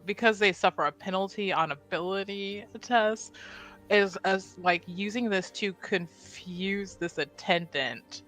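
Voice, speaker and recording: A woman's voice: medium pitch, measured pace, a bit bright, faint music behind, rough recording. A woman chatting casually as a player in a tabletop roleplaying game.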